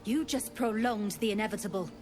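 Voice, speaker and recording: A woman's voice: mid-range voice, brisk, over music, lightly acted. A woman playing a bitter, scornful druid in a fantasy video game, wary of outsiders and ominous in manner.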